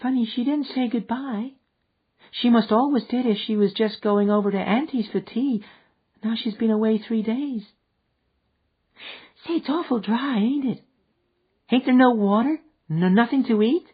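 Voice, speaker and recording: A man voicing an innocent, thirsty little girl with a high childlike voice and a frontier dialect, in a storybook reading. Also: unhurried, long pauses, breathy edge, muffled recording, full character voice. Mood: wondering.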